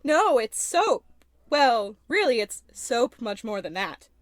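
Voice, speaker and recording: An actress playing a chipper, salesy soap pitchwoman in a parody of vintage radio advertising. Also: sing-song, slow, dry recording, clean studio sound.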